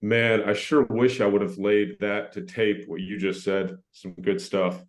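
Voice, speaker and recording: A man's voice: on the low side, level tone, a little slow, natural voice. A man playing a teasing, wisecracking TV crew colleague with a dry manner at a comedy table read. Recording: roomy, echoing table-read sound.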